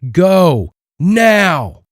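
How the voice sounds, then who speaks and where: Male narrator doing a bossy urgent guy barking orders, pitched up, shouting, no room echo. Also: very animated, drawn-out words, studio quality, full character voice. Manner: urgent, screaming over noise. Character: forceful commanding man barking escape orders. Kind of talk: audiobook character acting.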